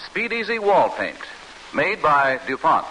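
A man's voice: a little high, very animated, measured pace. An American man reading a polished, salesmanlike 1940s radio advertisement. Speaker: commercial announcer. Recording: hissy old radio recording.

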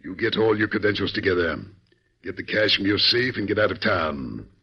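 A cold, commanding gang leader who plots to carve out his own nation, a 1940s radio drama. The voice sits very low and comes out husky. The recording is dull and muffled, like an old broadcast. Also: brisk. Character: scheming male gang leader plotting a new nation.

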